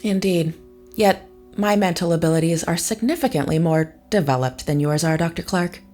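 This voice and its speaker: Casting: actress for an unflinching, imperious woman with a deep female register and exact, formal diction, audio drama. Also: a little mellow, soft background music, natural voice. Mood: cold contempt. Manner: deliberate, clipped formal phrasing. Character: cold, formal, unflinching superior-minded woman. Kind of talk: scripted audio drama acting.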